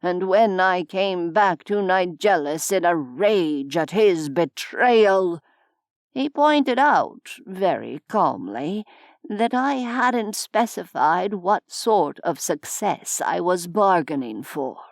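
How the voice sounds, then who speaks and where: Woman doing a wry old medieval nobleman, lowered voice, unhurried, dry close sound. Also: lively intonation, no echo. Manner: slow, measured, dryly self-mocking. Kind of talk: audiobook character voice.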